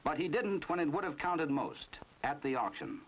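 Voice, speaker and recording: A man's voice: on the high side, big pitch swings, normal speed, fairly bright tone, slightly rough, heavily acted. An American man narrating a 1950s crime training film in a knowing, dramatic storytelling manner. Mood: wry, measured. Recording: dull, band-limited 1950s film soundtrack.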